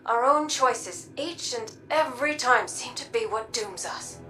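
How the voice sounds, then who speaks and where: An actress playing a weary woman who has traveled time, speaking in a soft, reflective way. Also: a little high, lively intonation, a little slow. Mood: somber. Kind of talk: video game dialogue.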